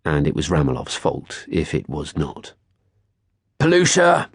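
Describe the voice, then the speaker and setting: A man reading scripted first-person literary fiction as an audiobook narrator, measured, articulate and wry. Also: very deep voice, steady tone, vocal fry, a little mellow, breathy edge, dry recording, studio quality.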